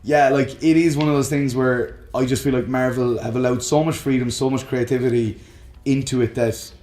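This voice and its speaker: A man's voice: medium pitch, fairly even intonation, normal speed, a little mellow. A man co-hosting a casual film chat, slipping into imagined quotes as he makes his points. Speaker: co-host. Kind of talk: casual banter about films. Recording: roomy echo, faint music. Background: faint music.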